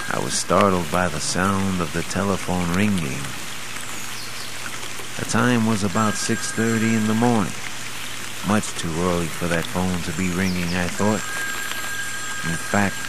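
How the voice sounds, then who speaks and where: A man working as a radio announcer, telling a scripted first-person story in a theatrical, deliberate way. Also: low voice, noisy background. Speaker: radio board operator and announcer. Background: music.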